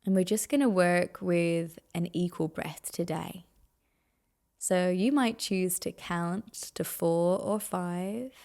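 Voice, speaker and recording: Female meditation guide: very slow, soothing delivery with a gravelly fry at the edges. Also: fairly low pitch, darkish tone. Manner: soothing, unhurried instruction with pauses.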